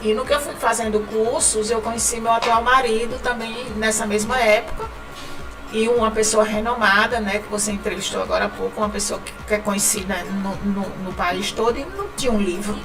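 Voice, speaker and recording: A woman's voice: medium pitch, steady tone, fairly quick, distant mic, music in the background, poor audio. A woman from Northeast Brazil with a northeastern Brazilian accent, a podcast guest chatting casually, laughing often and repeating words as she talks. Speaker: guest, writing company founder.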